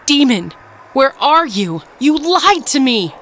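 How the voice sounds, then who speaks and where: A woman playing a ruthless, unrepentant ageless murderess, narrating her tale in a measured, dramatic audio drama style. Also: high-pitched, big pitch swings, noisy background, exaggerated voice. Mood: furious. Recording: narration over prominent background music. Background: music.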